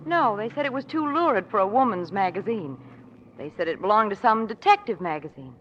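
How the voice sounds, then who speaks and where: A woman's voice: mid-range voice, animated, brisk, close to an everyday voice. An actress playing a pert society lady and detective's companion in a 1940s radio drama. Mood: chatty, wry. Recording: dull, noisy old 1940s radio broadcast.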